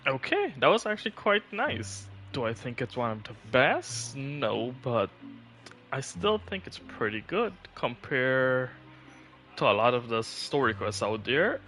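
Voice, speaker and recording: A man's voice: mid-range voice, big pitch swings, a little slow, pushed and bright, soft background music. A man livestreaming a video game, giving casual commentary.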